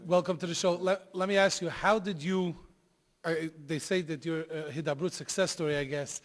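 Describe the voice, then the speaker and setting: A man's voice: a little high, fairly even intonation, moderate pace. A man hosting a TV interview, asking several probing questions in a row. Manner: probing, asks stacked questions. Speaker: interview host.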